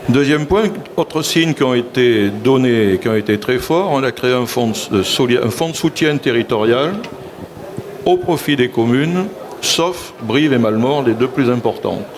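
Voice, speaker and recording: A man's voice: mid-range voice, moderate pace, noisy background. Assertive man speaking on a panel. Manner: assertive, with repeated "si vous voulez". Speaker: local elected official on a panel. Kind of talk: public panel talk.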